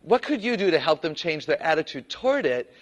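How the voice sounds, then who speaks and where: A woman with a low voice, a public speaker telling a personal story in an animated, playful way. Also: brisk, dull audio. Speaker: guest speaker. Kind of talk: personal story told to camera.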